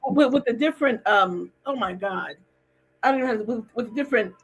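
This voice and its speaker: A woman's voice: on the high side, brisk, husky, rough recording. A woman hosting a casual interview, talking in a chatty way with lots of restarts and repeated words. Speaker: podcast host. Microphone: remote streaming call.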